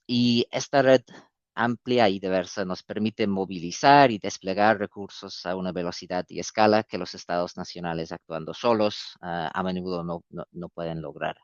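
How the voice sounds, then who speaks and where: A man's voice: sits low, fairly even intonation, moderate pace, no room sound. A man presenting in a webinar in a language that is not his first, speaking hesitantly with many fillers and repeated words. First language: not Spanish. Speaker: guest speaker for an epidemic preparedness coalition. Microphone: video call. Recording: dull, dry video-call audio.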